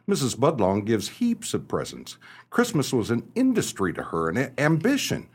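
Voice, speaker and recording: Man acting a wildly animated, sardonic small-town husband. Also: mid-range voice, moderate pace, slightly rough, heavily acted. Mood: emphatic, scornful. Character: exasperated, wry small-town husband mocking a socialite. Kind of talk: stagey comedic radio play acting.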